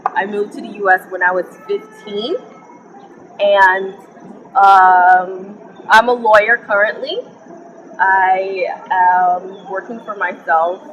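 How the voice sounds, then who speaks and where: A young woman from the Bahamas, sharing her personal faith story in an interview, earnest and reflective with frequent pauses. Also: mid-range voice, fairly bright tone, dull audio, a little hiss or hum. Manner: earnest and reflective, often pausing with ums. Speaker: interview guest. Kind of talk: personal testimony in conversation.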